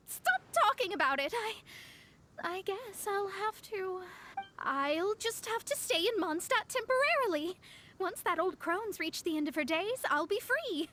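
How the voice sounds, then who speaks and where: A woman's voice: very high-pitched, sharp tone, exaggerated voice. A woman playing a proud young female astrologer in an anime-style game, formal and dramatic but easily flustered. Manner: haughty, formal wording, flustered stammering.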